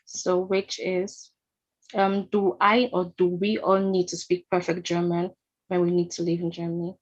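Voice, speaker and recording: A woman moderates a session over a video call and keeps her words short and polite. Her voice is a little low and sounds muffled, as if through a dull line. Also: flat delivery, measured pace. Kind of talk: casual moderating.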